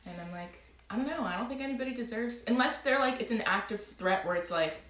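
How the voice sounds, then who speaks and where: A woman hosting a casual live chat, talking in a quick, agreeable way. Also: fairly high pitch, animated, fairly bright tone, echoey room. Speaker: host of a live music show.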